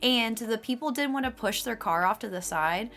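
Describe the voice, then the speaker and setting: A woman streaming live, chatting casually with her viewers and laughing often, her voice a little raspy. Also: fairly high pitch, expressive, moderate pace, a bit bright, soft background music. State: slightly raspy voice.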